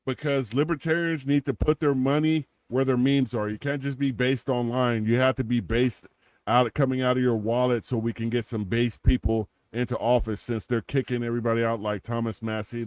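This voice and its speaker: A man's voice: mid-range voice, level tone, brisk, darkish tone, clean tone, no room sound. A man in his forties co-hosting a podcast, joking and rambling through a donation plug with many restarts. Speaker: podcast co-host. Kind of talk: casual podcast chat with sponsor-style plugs.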